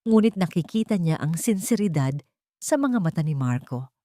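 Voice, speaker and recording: A woman narrates a scripted dramatic story in a measured, earnest manner. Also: fairly low pitch, big pitch swings, dark tone, no room sound, clean studio sound.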